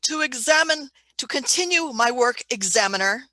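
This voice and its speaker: Obsessive scientist female performer, radio play. Bright, eager, theatrical, dry close sound. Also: sits high, lively intonation, normal speed, dry recording, poor audio. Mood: defensive. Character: excitable, obsessive scientist, defensive about the experiment. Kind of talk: scripted radio play reading.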